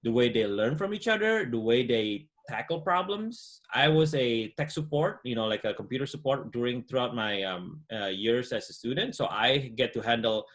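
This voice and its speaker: A man's voice: mid-range voice, moderate pace, few pauses. Man in his forties, easygoing guest on a webcam call, speaks with many fillers. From Indonesia. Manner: relaxed, many fillers and "you know". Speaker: guest entrepreneur. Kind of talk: casual interview chat. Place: home room, indoors. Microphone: video call webcam.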